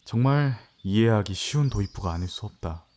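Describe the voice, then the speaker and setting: A man's voice: on the low side, lively intonation, brisk, darkish tone, natural voice. A man playing a young farmer's son, narrating his own fantasy story in a reflective, literary way in an audio drama. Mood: wistful, reflective.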